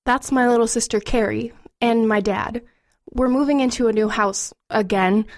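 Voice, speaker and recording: Woman as a sardonic, high-voiced teenage girl. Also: steady tone, normal speed, close to an everyday voice. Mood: wry, detached. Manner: dry, sarcastic, conversational. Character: sardonic goth teenage girl, world-weary narrator. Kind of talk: audio drama narration and dialogue.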